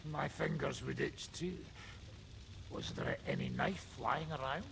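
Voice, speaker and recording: Very gravelly, sardonic medieval nobleman. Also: medium pitch, a little slow, noisy background. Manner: blunt, sardonic. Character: gruff, wry, foul-mouthed medieval nobleman. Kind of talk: dramatic video game dialogue.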